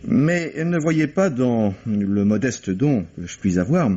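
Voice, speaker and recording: An actor playing a suave, self-satisfied playwright who speaks in a polished, ironic way in an old radio play. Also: male voice, mid-range voice, big pitch swings, slow, mellow. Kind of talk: 1950s radio drama acting. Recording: dull old radio broadcast.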